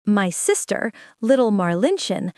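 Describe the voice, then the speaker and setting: A woman's voice: medium pitch, sing-song, measured pace, a little mellow, no room sound, studio quality. A woman voicing a magical singing bird, chanting its song in a sing-song way. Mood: haunting chant. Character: magical singing bird, boy's spirit, eerie.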